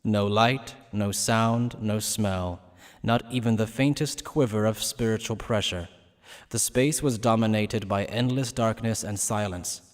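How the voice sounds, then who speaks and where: A man's voice: fairly low pitch, fairly even intonation, a little slow, in a large hall. A man narrating a dramatic scripted fantasy action story.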